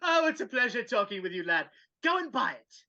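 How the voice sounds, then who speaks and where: A woman's voice: a little high, very animated, a little fast, bright, forceful tone, full character voice. A woman playing an old reformed miser in an impassioned, theatrical live dramatic reading over a video call. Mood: overjoyed. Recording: dull video call audio.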